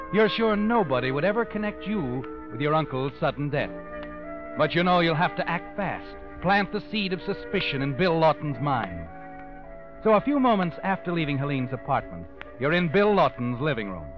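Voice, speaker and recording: An actor playing a sinister, all-knowing storyteller in 1940s radio suspense, sardonic and needling. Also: male voice, a little high, animated, moderate pace, close to an everyday voice. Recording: dull old radio recording. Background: dramatic music.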